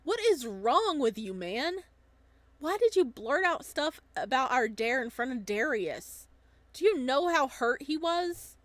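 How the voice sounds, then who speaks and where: Visual novel lead, male, early twenties, earnest and easily flustered, higher voice woman performer. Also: sing-song, measured pace, a bit bright, no echo, exaggerated voice. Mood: indignant. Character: timid, earnest, lovestruck young man of twenty-one. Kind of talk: visual novel dialogue reading.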